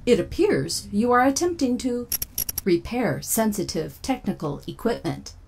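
A woman's voice: a little high, sing-song, unhurried, vocal fry, darkish tone, heavily acted. A woman playing a polite, officious computer assistant in an audio drama, speaking in a formal, stilted way. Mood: politely officious. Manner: formal, stilted, scripted helpfulness. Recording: noisy background.

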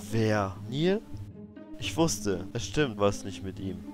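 A man voicing a young, bewildered adventurer hero in an animated fan dub, casual and hesitant. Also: lowish voice, slow, over music.

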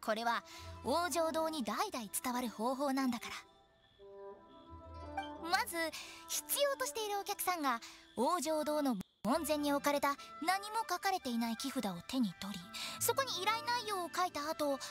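A very high, youthful girl's voice for an anime game, playing a playful funeral parlor director. She sells with pushy, persuasive patter and swings widely in pitch, over clear background music. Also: moderate pace. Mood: confident, explaining. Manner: animated, persuasive salesperson patter. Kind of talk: Japanese anime game voice acting.

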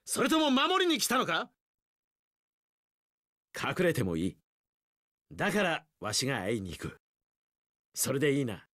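An actor playing a resolute, sunny young samurai general in an action game, speaking in an earnest, heroic, declarative way. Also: male voice, fairly high pitch, huge pitch swings, a little slow, long pauses, a bit bright, no room sound, studio quality, heavily acted. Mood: bold, reassuring. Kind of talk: anime-style action game voice acting.

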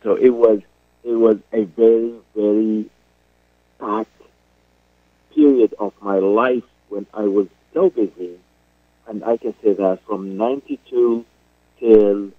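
A middle-aged man with a Syrian Arabic accent tells his story at an unhurried pace, pausing and restarting often. His voice reaches the show through a narrow, tinny phone line with no room sound. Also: fairly low pitch, no echo. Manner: slow, halting, many fillers and restarts. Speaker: guest actor. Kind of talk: radio interview. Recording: dry phone-line call.

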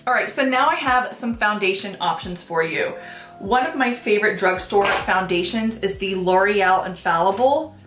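A woman in her thirties talking casually through a makeup tutorial, a little congested and sniffly, with relaxed ums and likes. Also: medium pitch, moderate pace, echoey room, over music. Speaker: beauty vlogger. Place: small room at home, plain backdrop. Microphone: camera at head-and-shoulders framing.

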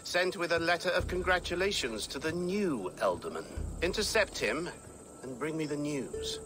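A man's voice: on the high side, expressive, normal speed, background noise, lightly acted. An actor playing a scheming, fussy older English churchman in a video game, talking in a wry, self-important, theatrical way. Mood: scheming, haughty. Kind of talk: video game cutscene acting.

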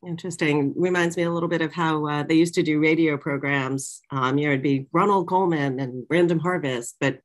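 A woman's voice: low voice, steady tone, brisk, few pauses. A middle-aged woman hosting a conversational interview over a video call from a small office. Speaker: interviewer, moderator.

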